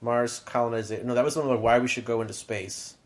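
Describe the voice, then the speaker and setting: A man's voice: medium pitch, steady tone, racing. A man co-hosting a podcast, talking in a rambling, casual way with lots of asides. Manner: rambling, chatty, full of "like" asides. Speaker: podcast co-host and middle school teacher. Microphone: podcast microphone.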